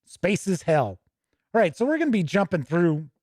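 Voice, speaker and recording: A man with a slightly high-pitched voice reviews tabletop games in a dry, close-sounding space. He talks conversationally and gives his opinions freely. He often pauses mid-sentence as he thinks aloud. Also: very animated, a little mellow, dry recording. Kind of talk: casual unscripted product review with passages read aloud.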